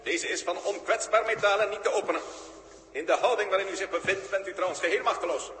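An actor playing a cold, formal robot that runs a spaceship, in a 1960s radio drama, speaking stiffly and without hurry. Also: male voice, sits high, fast, a bit bright, a little hiss or hum. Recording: echoey hall, old radio tape.

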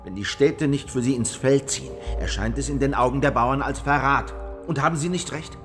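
Male actor as fervent historical rebel, radio drama: insistent, rhetorical, medium pitch, big reverberant space. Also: fairly quick, fairly bright tone, natural voice. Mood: insistent, urging. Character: fervent, defiant male rebel of the Peasants' War. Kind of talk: audio drama dialogue. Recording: long hall reverb, music underneath.

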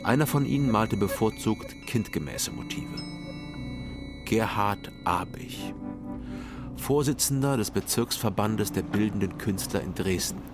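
Low-voiced actor as a dry private detective. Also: male voice, close to an everyday voice. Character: wry East German private detective posing as art scholar. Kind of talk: first-person crime radio drama narration and dialogue.

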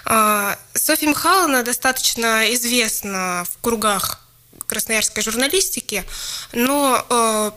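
A woman's voice: fairly high pitch, measured pace, pushed and bright. A woman hosting a radio talk show, speaking with a cold and laughing easily as she introduces her guest. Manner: friendly, laughs often, brief prompts. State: has a cold. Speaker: radio host.

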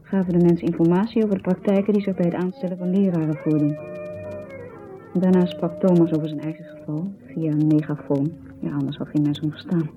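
A distraught wife played by a woman in a radio play, bitter and halting as she tells what happened. The sound is thin and phone-like, like a seventies broadcast, with some music behind. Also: lowish voice, level tone, lightly acted. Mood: agitated recounting. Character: distraught, bitter wife of an arrested teacher, telling her story. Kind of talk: naturalistic radio drama acting.

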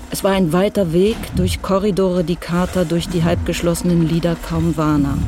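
A woman narrating a radio crime drama in a sober, measured, literary style. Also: lowish voice, noisy background, natural voice.

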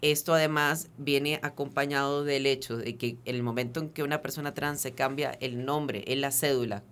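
A man from Venezuela speaks as an activist guest on a talk program, explaining procedures in earnest detail. He often pauses on fillers and restarts his phrases, in a voice of ordinary pitch. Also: a bit bright. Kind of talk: radio interview, explanatory.

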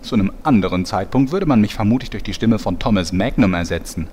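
A man's voice: lowish voice, expressive, quick pace, warm tone, slight background noise, lightly acted. A man playing a sulky, aggrieved narrator making demands in a parody audio drama. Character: disgruntled male storyteller on strike, sulky and demanding.

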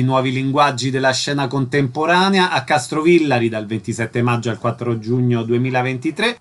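A man's voice: mid-range voice, a bit bright. A man hosting a radio interview, introducing guests and putting short questions to them.

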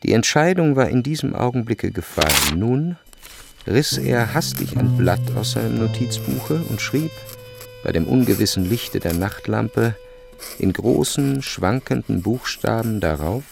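A man reading literary narration for a radio play, with deliberate, dramatic phrasing. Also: mid-range voice, lively intonation, vocal fry, music in the background.

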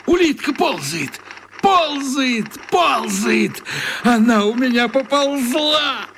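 An actor playing an absent-minded, dreamy, childlike writer who mutters musings to himself in a children's radio play. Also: male voice, very high-pitched, big pitch swings, takes it slowly, a bit bright, a little hiss or hum, exaggerated voice. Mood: absorbed.

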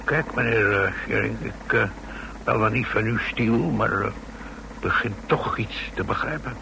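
An actor playing a shrewd, folksy safecracker in a radio drama, with a gravelly voice and a halting, colloquial, deferential way of talking. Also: male voice, on the low side. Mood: puzzled, dawning insight. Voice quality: gravelly, creaky voice. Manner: halting, colloquial, full of tag questions. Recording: noisy old radio drama recording.

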